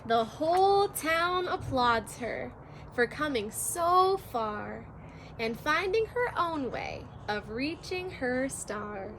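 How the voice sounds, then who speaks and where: A woman's voice: sits high, sing-song, slow, a little hiss or hum, low-quality recording. A woman teacher reading a rhyming children's picture book aloud, in a sing-song, rhythmic storytelling manner.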